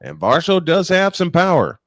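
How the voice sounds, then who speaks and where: A man's voice: on the high side, wildly swooping pitch, normal speed, a bit bright, no echo. A man doing live baseball play-by-play, folksy and chatty as he banters with co-hosts. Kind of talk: live sports commentary with casual banter.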